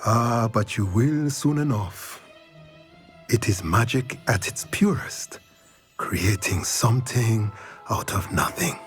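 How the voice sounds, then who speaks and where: Low-voiced, animated magic shopkeeper. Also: male voice, takes it slowly, soft background music. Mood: welcoming, savoring. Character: courteous, enthusiastic male magic-shop proprietor. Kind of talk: video game character acting.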